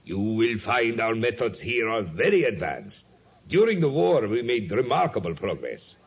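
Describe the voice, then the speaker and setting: Boastful planter in old radio drama, low husky voice, really swoops around. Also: male voice, big pitch swings, normal speed, exaggerated voice. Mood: proud, obliging. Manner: boastful, eager. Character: proud, eager male rubber plantation owner. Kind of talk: 1950s radio adventure serial acting. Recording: muffled, raspy 1950s radio recording.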